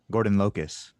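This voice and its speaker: A man acts out an older male inventor with a low voice and a close, dry sound. He is genial and a little wistful, talking in an easy, unhurried conversational way, as in an audio drama. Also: a little mellow, no room sound. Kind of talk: audio drama table read.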